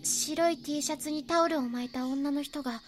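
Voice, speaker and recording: A woman acts out a young girl in an audio drama. The voice is high and polite. She speaks hesitantly, as a witness telling what she knows. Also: moderate pace, soft background music, close to an everyday voice. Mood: hushed, confiding.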